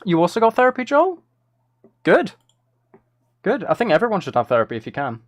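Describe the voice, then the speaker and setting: A man's voice: sits high, wildly swooping pitch, fairly quick, no room sound. A man chatting casually on a live stream, playful and self-deprecating, thinking aloud as he works. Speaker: live streamer and music maker.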